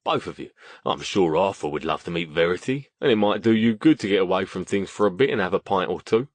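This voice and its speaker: A man acts out a small, wiry Cockney fellow from a fantasy audiobook. He is chummy, teasing and colloquial, in a fairly low voice with a very dry sound. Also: animated, a little fast, dry recording. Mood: teasing, coaxing. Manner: matey, colloquial.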